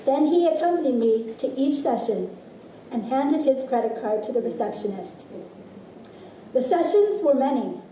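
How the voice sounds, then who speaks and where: A middle-aged woman reads a literary personal essay in a soft-edged, mellow voice that sits a little high. Her delivery is expressive and deliberate, with dramatic pauses. The sound is clearly roomy, picked up from some distance. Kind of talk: literary reading of a personal essay to a live audience. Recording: roomy, distant mic with faint noise.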